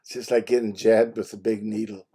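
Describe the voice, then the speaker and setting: A man's voice: on the low side, level tone, a little fast, gravelly, mellow, a little hiss or hum. An older man chatting casually on the phone, telling anecdotes in a blunt, salty way with chuckles. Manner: blunt, salty, chuckles through his stories. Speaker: phone call participant recounting his medical history. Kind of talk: casual chat, anecdotal storytelling. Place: phone conversation.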